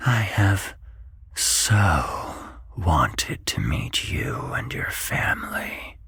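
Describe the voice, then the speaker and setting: A man voicing a sinister spirit posing as a genie, in a slow, rasping, coaxing whisper for a horror story. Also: low-pitched, fairly bright tone, exaggerated voice.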